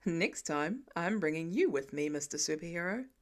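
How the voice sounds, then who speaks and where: Woman voicing a deadpan, sarcastic man, pitched down. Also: normal speed, lightly acted. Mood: teasing. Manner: dry, flat, deadpan. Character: deadpan sarcastic grown man, doting father superhero.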